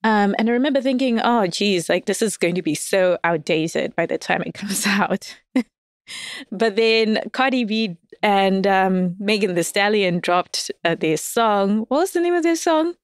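A woman's voice: medium pitch, lively intonation, a little slow, smooth, no room sound, studio quality. A woman as a podcast guest, chatting casually, laughing often and telling stories with animation. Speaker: guest, audio fiction writer. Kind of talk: casual interview chat.

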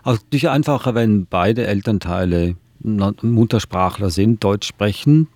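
A man hosting a radio podcast, chatting in a relaxed way with occasional filler pauses. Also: on the low side, no room sound. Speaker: podcast host.

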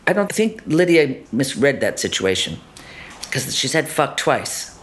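Animated woman actor, loud then murmuring. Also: very deep voice, measured pace. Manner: animated, shifting between loud asides and soft murmurs; rhetorical tag questions. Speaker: actor interviewed about her role. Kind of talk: conversational interview.

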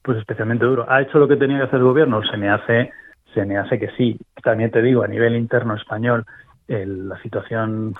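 Spanish man, husky low voice, thoughtful guest over a phone-like line. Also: fairly even intonation, brisk, dry recording. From Spain. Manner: thoughtful, restarts often. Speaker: guest commentator. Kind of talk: casual interview talk. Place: remote call from abroad.

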